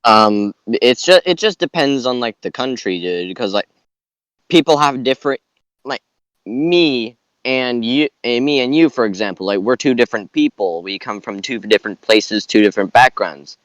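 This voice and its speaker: A man chatting casually on a group podcast, haltingly and often repeating his phrases. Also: mid-range voice, expressive, fairly bright tone, dry recording, poor audio. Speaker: podcast panelist.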